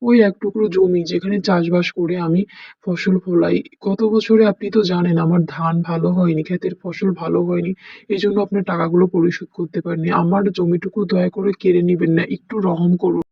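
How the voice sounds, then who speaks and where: Cartoon role: desperate poor villager played by a man, high and gravelly, imploring. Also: little pitch movement, fairly quick, dry recording, exaggerated voice. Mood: pleading. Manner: plaintive, imploring. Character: poor, desperate village farmer pleading for his land. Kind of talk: melodramatic cartoon dialogue. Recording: dull lo-fi cartoon audio.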